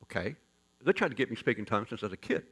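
Gravelly-voiced man preaching folksy sermon. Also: medium pitch, racing. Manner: folksy, joking, animated preaching with sudden loud rises. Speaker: pastor. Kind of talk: storytelling sermon.

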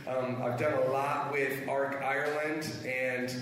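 A man who is a pastor, speaking energetically to a conference crowd with many restarts, his voice a bit hoarse. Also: mid-range voice, level tone, takes it slowly, fairly bright tone, a little hiss or hum. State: slightly hoarse. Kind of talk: conference panel talk. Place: large conference hall. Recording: echoey hall, from far off.

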